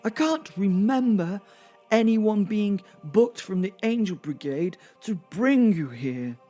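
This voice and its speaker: A man voicing a pompous, wounded archangel in an audio drama, declaiming grandly and halting with outrage. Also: sits high, expressive, mellow, faint music behind, voice pushed up. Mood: incredulous, imperious. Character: Archangel Gabriel, pompous, wounded, indignant male angel.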